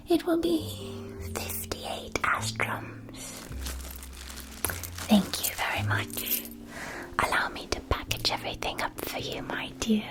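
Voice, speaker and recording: Woman as a slow whispering kindly witch shopkeeper. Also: a little high, vocal fry, heavily acted, low-quality recording. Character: kindly witch bookshop keeper, welcoming, gently mystical. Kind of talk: whispered ASMR fantasy roleplay.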